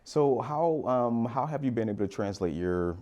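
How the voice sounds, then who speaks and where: A man's voice: medium pitch, expressive, moderate pace, a little mellow. A man hosting a podcast interview, easygoing and affirming, often saying it makes sense. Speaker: podcast host.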